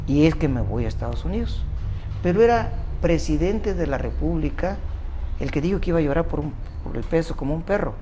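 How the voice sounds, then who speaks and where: Older man with a Mexican accent recalls his past in an unhurried, wandering way. His voice is dark and mellow, and faint ambient noise sits behind it. He often stops to correct himself mid-sentence. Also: on the high side. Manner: rambling, self-interrupting recollection. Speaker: interview guest, doctor. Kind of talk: conversational storytelling.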